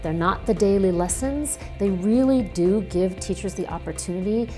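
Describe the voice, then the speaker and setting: A woman's voice: medium pitch, lively intonation, moderate pace, dark tone. A middle-aged woman giving an interview answer as a school district official. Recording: clear music behind interview.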